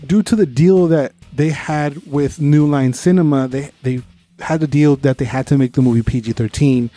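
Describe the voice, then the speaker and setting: A man's voice: mid-range voice, warm tone. A man co-hosting a podcast, giving an informal, opinionated movie review in casual conversation and swearing freely.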